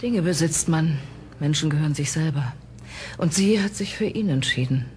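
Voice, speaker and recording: Low-voiced female detective, played by an actress. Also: animated, moderate pace, a little mellow, slight background noise. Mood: firm. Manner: composed, pointed questioning. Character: female police detective, composed and direct. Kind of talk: German crime radio play acting.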